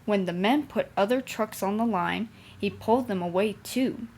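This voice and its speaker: A woman's voice: medium pitch, normal speed, darkish tone, a little hiss or hum. A young woman reading a children's storybook aloud as its narrator. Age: young adult.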